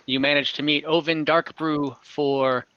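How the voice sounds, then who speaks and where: A man narrating as dungeon master in an online tabletop game, relaxed and chatty with many fillers. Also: a little high, measured pace, clear, resonant tone, dry recording. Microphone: computer mic on a video call. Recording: muffled online call audio.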